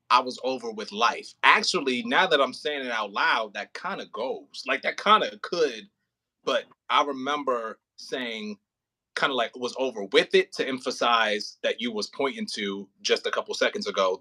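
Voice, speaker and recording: A man's voice: medium pitch, normal speed, bright, forceful tone, rough recording. A man talking casually and informally with slang, in the role of writer and host at a rehearsal.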